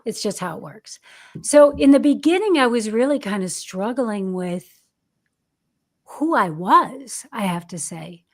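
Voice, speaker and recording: A woman's voice: fairly high pitch, lively intonation, a little slow. Reflective American woman in her fifties. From United States. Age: 50s. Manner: candid, reflective, with many restarts and fillers. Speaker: acting career coach, guest. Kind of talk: podcast interview.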